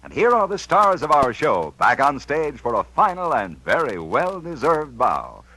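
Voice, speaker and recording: Bright, animated American radio announcer man. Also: medium pitch, unhurried, rough recording. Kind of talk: 1950s radio announcing, scripted.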